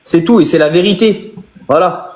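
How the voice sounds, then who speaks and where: A man's voice: fairly high pitch, normal speed, darkish tone. A man on a live stream arguing heatedly and mocking his opponent while talking to his viewers. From France.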